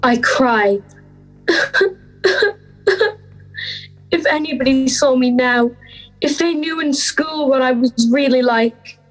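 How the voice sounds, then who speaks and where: Slow, halting frightened schoolgirl, acted by a young woman. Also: high-pitched, faint music behind, low-quality recording. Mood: despairing. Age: young adult. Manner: halting, introspective, short phrases. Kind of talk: audio drama monologue.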